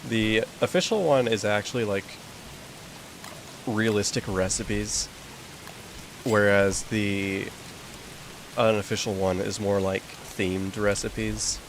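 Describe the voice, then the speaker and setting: A young man with a slightly low voice chats loosely while he plays, rambling and dropping in plenty of filler words. A steady hiss sits clearly behind him. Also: takes it slowly, pauses often, rough recording. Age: young adult. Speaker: gaming streamer. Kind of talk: casual chat while playing a game.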